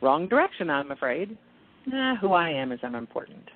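A woman playing a serene, knowing angel guide in a radio play, speaking with confident, measured emphasis. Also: low-pitched, very animated. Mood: matter-of-fact. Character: serene, knowing female angel guide in kittenish human guise. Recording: tinny, band-limited phone-like sound.

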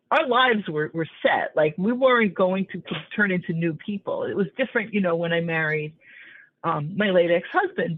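A woman in her sixties explains her ideas as a guest, building each point patiently with small restarts. Her voice comes through a narrow, tinny call line. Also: fairly low pitch. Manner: thoughtful, explanatory, with frequent restarts. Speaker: author guest. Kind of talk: conversational interview.

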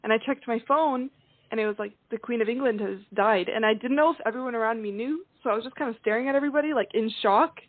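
A woman's voice: fairly high pitch, fast, no room sound. A young woman from Croatia, a singer guest, chatting easily with many likes and ums. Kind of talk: casual interview chat. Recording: dry, phone-like line.

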